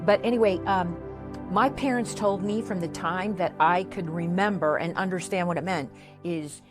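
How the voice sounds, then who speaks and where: A woman's voice: fairly low pitch, moderate pace, music in the background. A middle-aged or older woman hosting a casual interview, encouraging and affirming her guest. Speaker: interview host.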